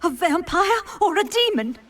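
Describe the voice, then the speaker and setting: A woman's voice: very high voice, big pitch swings, moderate pace, a bit bright, exaggerated voice. A woman playing a frightened, superstitious vampire hunter in a game, with an agitated, fearful delivery.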